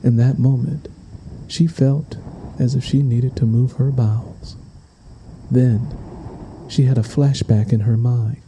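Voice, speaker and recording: A man's voice: mid-range voice, level tone, slow, pauses often, dark tone, a little hiss or hum, low-quality recording. A man reading a scripted, dramatic story as its narrator. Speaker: audiobook narrator.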